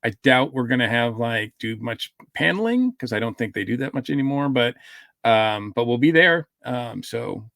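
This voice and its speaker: A man co-hosting a casual fan podcast, speaking in a halting way with frequent restarts. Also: medium pitch, lively intonation, creaky, a bit bright, no echo, studio quality. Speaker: podcast co-host.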